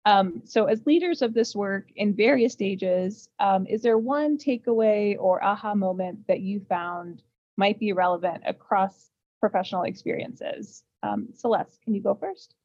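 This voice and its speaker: A woman hosts a podcast panel, reading polished introductions and moderating the guests. Her voice comes through dull and lo-fi, with no room sound. She speaks at a mid pitch. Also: measured pace, a little mellow, dry recording. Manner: reads polished intros, then moderates with fillers. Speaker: podcast host. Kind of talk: scripted podcast hosting. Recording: dull, dry lo-fi audio.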